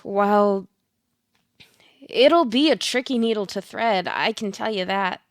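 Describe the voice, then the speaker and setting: A woman's voice: mid-range voice, slow, no echo, studio quality. A woman playing a blunt, slyly coaxing tarot reader in an audio play, with a theatrical manner and pet names for her client. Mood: persuasive. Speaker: actor.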